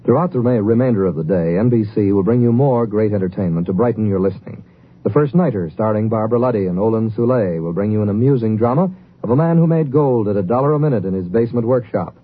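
A man's voice: fairly low pitch, expressive, fast talker, breathy edge. A man working as a network radio announcer, reading promotional copy in a polished, formal 1950s style. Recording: narrow old radio broadcast, faint noise.

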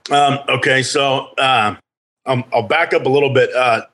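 A man's voice: medium pitch, fairly even intonation, moderate pace, fairly bright tone. A man in his thirties from California, a podcast guest telling stories in a rambling way with many fillers. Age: 30s. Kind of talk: casual storytelling chat.

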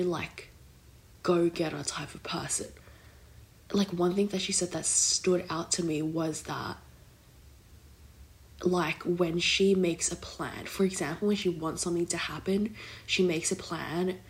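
A woman's voice: on the low side, fairly even intonation, measured pace. A young woman hosting her own podcast in a casual, rambling way, filling her talk with "like" and "kind of", her voice nasal from a blocked nose. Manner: rambling, heavy use of "like" and "kind of". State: recovering from illness, congested. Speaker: podcast host. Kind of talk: casual solo podcast chat.

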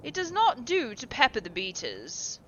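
A woman voicing a cynical aristocratic gentleman who talks in an airy, drawling, dismissive way. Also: on the high side, big pitch swings, measured pace, highs cut off, voice pushed up. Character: languid, cynical older male aristocrat.

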